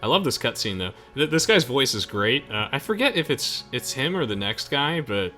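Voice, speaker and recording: A man's voice: medium pitch, sing-song, normal speed, sharp tone. A young man hosting a let's play with casual, chatty and playful game commentary. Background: game music.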